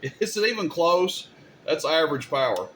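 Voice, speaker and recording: A man's voice: fairly high pitch, expressive, normal speed, a bit bright. A man hosting a casual hands-on demonstration, speaking in an informal, folksy and opinionated way. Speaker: host demonstrating radio gear. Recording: clear background noise, room ambience.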